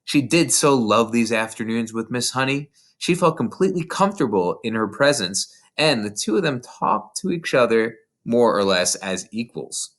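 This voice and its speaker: An adult reading a children's novel aloud as expressive scripted narration. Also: mid-range voice, normal speed, few pauses. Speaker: storybook reader.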